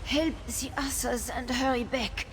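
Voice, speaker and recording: An actress playing a wounded werewolf survivor in a video game, weak, halting and earnest as she struggles to speak. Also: sits high, steady tone. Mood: pained, pleading. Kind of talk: video game character dialogue. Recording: noisy outdoor game ambience behind.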